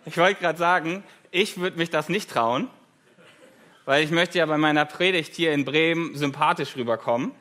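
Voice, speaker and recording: A man's voice: on the high side, moderate pace, pushed and bright. A German man in his thirties, a guest preacher giving a conversational sermon with light self-deprecating humor, in a church.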